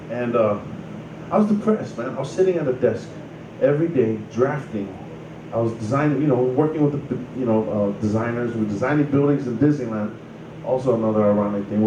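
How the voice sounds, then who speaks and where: A man in his thirties, from Samoa, casually telling his life story in a loose, rambling way full of "you know" and "like". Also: mid-range voice. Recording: roomy distant mic with noise.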